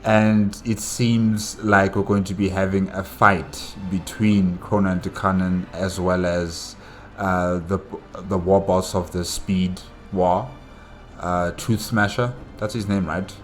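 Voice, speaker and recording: A man hosting a reaction show, giving casual commentary in a halting way, thinking aloud and repeating himself. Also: sits low, steady tone, faint music behind. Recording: noticeable background noise.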